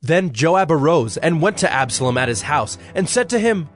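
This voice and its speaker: A man narrating a dramatized audio Bible in a solemn, measured storytelling manner. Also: fairly high pitch, big pitch swings, soft background music.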